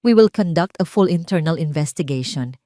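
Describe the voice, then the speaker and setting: A woman voicing a stern, formal male executive with measured authority in an audio drama. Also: low voice, lively intonation, warm tone, no room sound, clean studio sound. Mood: stern, official.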